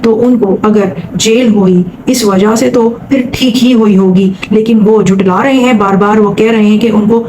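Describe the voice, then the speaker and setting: A woman's voice: mid-range voice, fairly even intonation, normal speed, hardly any pauses, background noise. A woman from Saudi Arabia hosting a vlog, giving commentary and retelling someone else's account. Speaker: vlog host.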